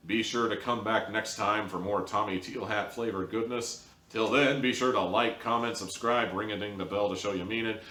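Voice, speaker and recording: Deep-voiced man reviewing in an echoey room. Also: fairly even intonation, normal speed, bright, forceful tone. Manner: blunt, conversational, rambling with asides. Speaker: audio drama reviewer, show host. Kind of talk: casual opinionated review monologue. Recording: roomy, echoing home recording.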